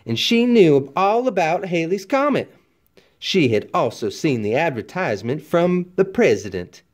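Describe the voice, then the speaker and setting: Animated man reading a storybook, lively pitch swings. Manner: animated, folksy storytelling with dramatic emphasis. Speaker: read-aloud narrator, teacher. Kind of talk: expressive scripted storybook narration for children.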